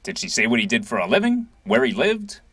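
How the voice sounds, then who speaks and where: An actor playing a brisk police sergeant with an insistent, official manner in an audio drama. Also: male voice, mid-range voice, wildly swooping pitch, vocal fry, a bit bright, breathy edge, no room sound, exaggerated voice. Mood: pressing. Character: brisk male police sergeant questioning witnesses.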